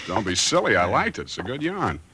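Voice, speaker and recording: A man's voice: lowish voice, wildly swooping pitch, a little fast. A man playing an easygoing, skeptical friend in American 1940s radio drama, talking in a wry, casual way. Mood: friendly, wry. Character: easygoing, skeptical male friend humouring a worrier. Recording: noisy old radio recording with clicks.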